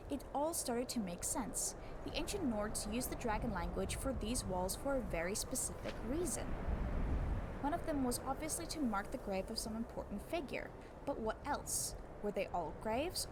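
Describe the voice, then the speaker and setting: Lady with a highish voice reading a book out loud, kinda scholarly and measured, noisy background. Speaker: book narrator giving a voice demo. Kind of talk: storybook read-aloud, scripted narration.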